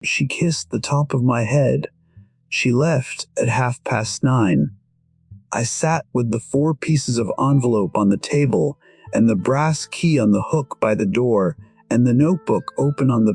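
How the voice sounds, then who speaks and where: An actor playing a gentle, wistful musician in his sixties, narrating an audio drama in short, measured, reflective sentences. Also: medium pitch, breathy edge, dry recording. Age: 60s. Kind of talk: first-person scripted audio drama narration. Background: faint music.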